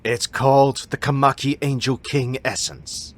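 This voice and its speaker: A man playing a proud, stern martial arts master who instructs a pupil in formal, measured speech for an audio drama. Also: mid-range voice, fairly bright tone, close to an everyday voice. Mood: solemn. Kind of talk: fan audio drama acting.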